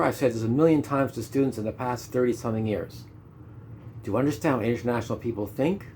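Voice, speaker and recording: A man's voice: mid-range voice, a little mellow, slight background noise. A Canadian man in his fifties gives an instructional talk on teaching, stressing and repeating his key points and asking rhetorical questions. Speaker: ESL teacher. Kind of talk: instructional monologue.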